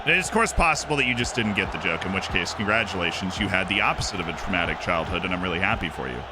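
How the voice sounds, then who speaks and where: A man streaming a football management game, commenting excitedly on matches and chatting directly with his viewers. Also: low-pitched, racing, background noise. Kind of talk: casual live-stream commentary to chat.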